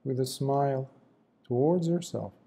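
Very mellow, slow-speaking man guiding meditation. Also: mid-range voice, creaky, husky. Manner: gentle, unhurried, with long pauses between short phrases. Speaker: meditation teacher, Buddhist monk. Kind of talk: guided meditation, slow spoken instruction.

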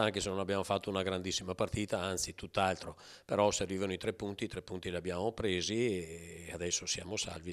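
A middle-aged man, a coach, giving a measured post-match interview into a handheld mic. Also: low-pitched. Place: press backdrop after a match. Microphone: handheld mic held by interviewer.

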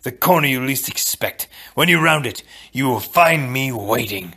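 A man's voice: mid-range voice, lively intonation, normal speed, vocal fry, sharp tone, husky, heavily acted. Man playing a haughty, vengeful villain prince.

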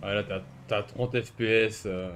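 A man's voice: on the low side, fairly even intonation, measured pace, fairly bright tone, a little hiss or hum. A man giving casual, slangy gameplay commentary in a grumbling way, like a streamer. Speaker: gaming video maker, streamer.

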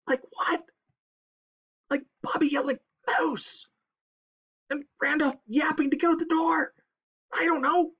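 A narrator's high, fretful little chipmunk. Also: steady tone, slow pace, long pauses, fairly bright tone, highs cut off. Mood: puzzled. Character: anxious, fretful male burrowing chipmunk. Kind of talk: animal storybook character voice.

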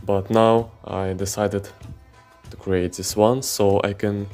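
Slow-talking man, halting English. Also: fairly low pitch, flat delivery, darkish tone, soft background music. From Ukraine. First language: Russian. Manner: halting non-native English with many fillers. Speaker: fitness channel host. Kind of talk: casual podcast monologue. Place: indoors, seated on pillows.

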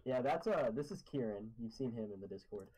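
A young man teasing his friend through a gaming session, his voice swinging widely in pitch. He jokes and gets excited easily. Faint keyboard clicking and typing sit under the voice-chat audio. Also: a little fast, slight background noise, low-quality recording. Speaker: Minecraft player and channel host.

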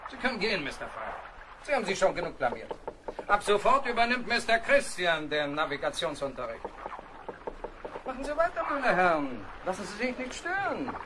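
Scornful naval captain, very high voice, animated, barking, commanding, audio drama. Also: male voice, moderate pace. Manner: commanding, cutting, barking orders. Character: harsh, scornful naval captain of a sailing ship. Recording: noisy, distant-sounding radio play scene.

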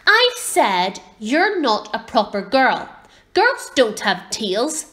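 Storybook baby owl boy played by a woman, high and animated, eager, inquisitive, quick to take offence. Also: exaggerated voice. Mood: indignant. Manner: earnest, inquisitive, easily huffy. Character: eager, naive young male baby barn owl.

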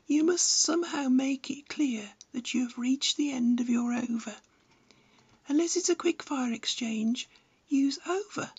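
A woman's voice: high voice, a little mellow, husky. A woman reading scripted instructional narration in a blunt, didactic manner. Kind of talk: scripted narration, tutorial with opinionated advice.

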